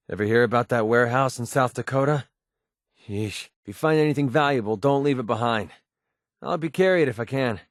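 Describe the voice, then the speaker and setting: A man's voice: mid-range voice, dry recording, clean studio sound, close to an everyday voice. An actor playing a cynical young mercenary gunman in a video game, talking in a casual, sardonic, world-weary way. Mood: wry, grumbling. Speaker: game companion character. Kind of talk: scripted video game dialogue.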